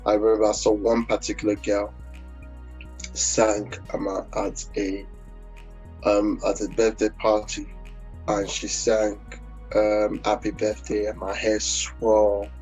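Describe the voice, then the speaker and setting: A man's voice: lowish voice, monotone, unhurried, darkish tone, faint music behind, low-quality recording. A man from Nigeria with a Nigerian accent, a gospel singer talking casually in an interview, hesitant with many fillers.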